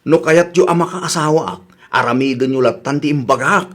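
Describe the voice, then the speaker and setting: An actor playing a boastful, scheming young suitor in a comedy radio drama. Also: male voice, a little high, a little fast, natural voice. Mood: insistent. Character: boastful, scheming young suitor lying about his job.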